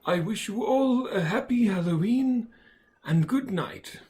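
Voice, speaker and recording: A performer voicing an elderly male wizard headmaster in a fan audio drama, speaking formally and deliberately with calm authority. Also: mid-range voice, lightly acted. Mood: kindly, formal.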